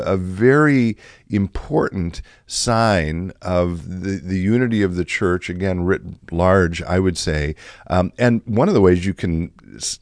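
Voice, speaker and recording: A middle-aged male pastor from the Chicago area, slightly raspy from allergies, talking through a reflective podcast with plenty of fillers and restarts. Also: low voice, darkish tone, dry recording, clean studio sound. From Chicago area, USA. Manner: conversational, many fillers and restarts.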